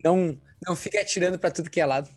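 A man's voice: fairly high pitch, very fast, darkish tone, faint music behind. A man co-hosting a podcast, making brief upbeat remarks. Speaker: podcast co-host. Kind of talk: casual podcast chat.

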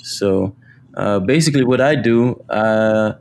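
A man speaking as a guest on a podcast, talking humbly about his work with many pauses and fillers. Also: fairly low pitch, creaky, a little mellow. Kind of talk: casual interview.

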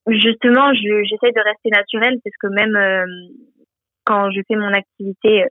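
A woman's voice: medium pitch, little pitch movement, moderate pace, no echo. A teenage girl from the south of France answers interview questions down a phone line. Her voice comes through tinny and bone-dry, with no room around it. She sounds cheerful and hesitant, stopping and restarting her sentences often. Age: teens. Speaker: guest. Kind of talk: radio interview.

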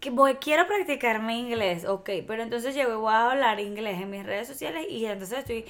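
Venezuelan woman, high, bright, quick to agree. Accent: Venezuelan Spanish. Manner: quick, agreeing, laughs easily. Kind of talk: casual chat.

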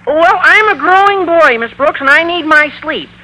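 An actor playing an earnest, whiny American teenage schoolboy in an old-time radio comedy. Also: male voice, very high-pitched, animated, moderate pace, bright, forceful tone, heavily acted, low-quality recording. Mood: sheepish. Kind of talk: broad 1940s-50s radio comedy acting. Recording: vintage radio recording with faint noise.

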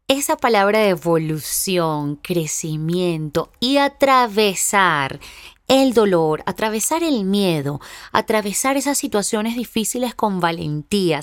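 A woman's voice: lowish voice, no room sound, studio quality. A young woman, hosting her own podcast in a heartfelt, reflective personal monologue. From Venezuela. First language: Spanish. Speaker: podcast host.

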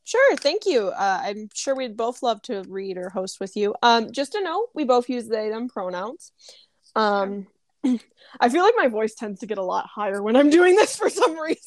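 A woman's voice: fairly high pitch, very animated, moderate pace, dry recording. A woman co-hosting a casual live audio chat as a tarot reader, chatty and giggly. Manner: chatty, giggly, self-conscious.

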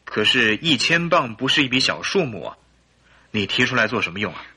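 An earnest husband of thirty-two in a radio drama, polite yet troubled. His voice has a sharp, forceful edge and a rough huskiness, and it moves in lively, expressive swings as he explains himself. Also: mid-range voice, fairly quick, heavily acted. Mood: concerned, puzzled. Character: troubled 32-year-old English husband, a hop trader, polite and earnest. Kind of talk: Chinese radio drama acting.

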